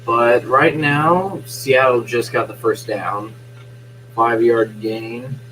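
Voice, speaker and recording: A young man losing his voice, talking quietly while streaming a live play-by-play of a game from home at night. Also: medium pitch, fairly even intonation, slow, slight background noise, rough recording. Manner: quiet, subdued talk. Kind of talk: casual live-stream sports commentary.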